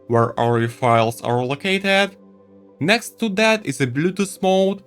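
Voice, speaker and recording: Guy doing a tech review, really animated pitch, soft music behind him. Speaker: product reviewer. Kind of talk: semi-scripted video review narration.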